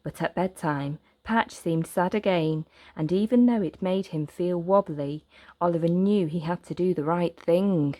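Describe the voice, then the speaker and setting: A woman reading a children's story aloud as narrator, with gentle, expressive, deliberate phrasing. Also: lowish voice, dry recording.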